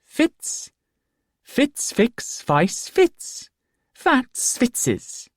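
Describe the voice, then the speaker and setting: An impish male court fool chants in a shrill, piping voice pitched far above a man's normal range. He swoops wildly in pitch and enunciates every word with arch precision, like a storybook jester, heard dry and close. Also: huge pitch swings, slow pace, dry recording, heavily acted. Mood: singsong chanting. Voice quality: high piping voice. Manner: singsong, elaborately enunciated.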